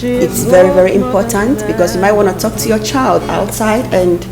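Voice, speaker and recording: A woman in her thirties, an interview guest, speaking in an earnest, measured and reflective way. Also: medium pitch, over loud music. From Nigeria. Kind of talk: conversational interview.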